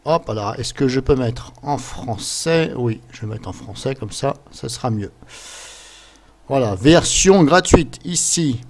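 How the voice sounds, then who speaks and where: A man presenting a casual screen-capture software tutorial, explaining things step by step in an informal, chatty way. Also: medium pitch, lively intonation, a little slow, slight background noise. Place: voice-over recorded at a computer during screen capture.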